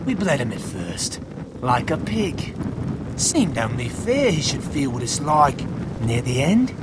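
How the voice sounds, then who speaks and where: Sadistic soldier villain, sneering, taunting, vengeful, animated pitch, fantasy game, loud storm noise. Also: male voice, a little slow. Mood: cruel, gloating. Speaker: voice actor in a game. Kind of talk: dramatic game dialogue.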